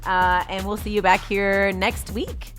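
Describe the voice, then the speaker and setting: A woman co-hosting a podcast, chatting casually in a teasing, quick-to-laugh way. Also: medium pitch, steady tone, a bit bright, faint music behind. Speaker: podcast co-host. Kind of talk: casual chat.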